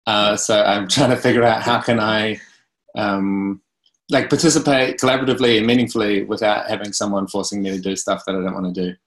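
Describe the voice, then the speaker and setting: A man's voice: lowish voice, fairly even intonation, fairly quick, roomy sound. A man with a New Zealand accent introducing himself on a video call in a casual, self-deprecating way. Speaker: presenter.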